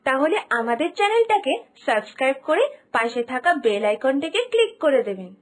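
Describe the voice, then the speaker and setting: A woman with a high, very melodic voice delivers a scripted channel announcement. Her intonation rises and falls in a lively way. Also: brisk, a little hiss or hum.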